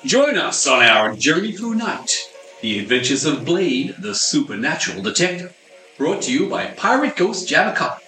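A man's voice: a little high, sing-song, normal speed, roomy sound, over music. A man narrating and playing a showman in an amateur radio play. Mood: announcing. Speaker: narrator and announcer.

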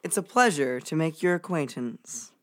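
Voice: mid-range voice, lively intonation, normal speed, fairly bright tone, lightly acted. A female performer playing a polite, formal, skeptical young crew member in a children's audio drama.

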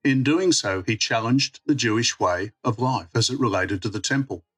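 A man's voice: mid-range voice, moderate pace, fairly bright tone, breathy edge, faint music behind. A man reading a prepared religious reflection on scripture. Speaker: church mission worker giving a reflection.

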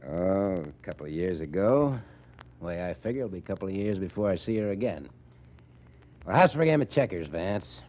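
Breezy American racketeer for 1940s radio drama: deep voice, tough ungrammatical slang, dulled vintage sound. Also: male voice, animated, fairly quick. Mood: cocky, breezy. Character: breezy, rough-talking male gang boss, chuckling and cocky. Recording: muffled old radio recording.

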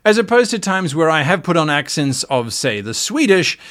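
A man with a somewhat high voice that rises and falls a lot, hosting a casual livestream. He talks in an earnest, thoughtful way, pausing and restarting as he works through his points. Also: big pitch swings, a bit bright. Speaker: podcast host and game master.

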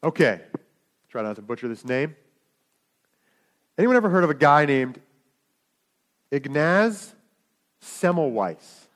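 A man's voice: a little high, very animated, slow, pauses often, a bit bright. A man preaching a sermon, in a conversational way with asides to the congregation. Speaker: pastor.

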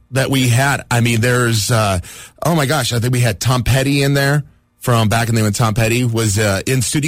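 A man's voice: mid-range voice, dry recording. A man at a radio studio reminiscing on air in a loose, rambling way, full of "you know" and "uh". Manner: rambling, reminiscing, lots of fillers. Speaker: radio station staffer. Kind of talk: casual storytelling on air.

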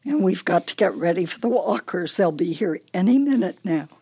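An actress playing a forgetful elderly wife in a radio play, teasing and fretful with a deliberate, wistful manner. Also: female voice, on the low side, lively intonation, a little mellow, slightly rough. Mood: chiding, muddled.

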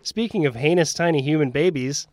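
A man's voice: on the high side, brisk, a little mellow, dry recording. A man hosting a comedy improv game show podcast with a wry, playful mock-announcer delivery. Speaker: podcast host and game master.

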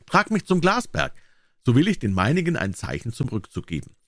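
Fearless young drummer for a storybook, voiced by a male reader: cunning, kind, very lively intonation. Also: medium pitch, brisk, no room sound, studio quality, voice pushed up. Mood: cocky, cunning. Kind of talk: fairy tale character voice.

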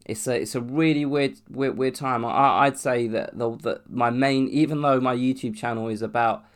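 A man's voice: mid-range voice, fairly even intonation, normal speed. A young man from London with a cold and a sore throat, hosting a solo podcast in a rambling, casual way, restarting and swearing as he talks. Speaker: podcast host.

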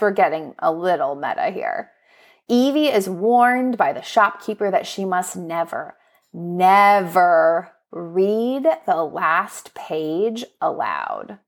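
A woman presenting book recommendations as a podcast host, speaking in an enthusiastic, expressive, prepared narrating style. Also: mid-range voice. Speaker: school librarian.

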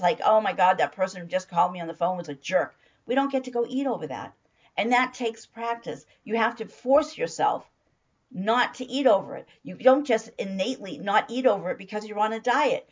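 A middle-aged woman with a hoarse voice, giving an emphatic motivational talk as a weight-loss coach and repeating phrases for stress. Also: mid-range voice, lo-fi sound.

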